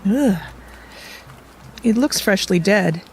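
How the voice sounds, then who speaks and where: Actress as guarded young woman, medium-pitched, dry wit, reserved delivery, audio drama, faint noise. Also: animated, slow. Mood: uneasy. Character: guarded young woman, the time traveller's dry-witted companion.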